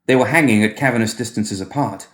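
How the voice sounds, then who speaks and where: Low-voiced man narrating, roomy sound. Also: fast. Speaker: story reader. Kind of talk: scripted fiction narration.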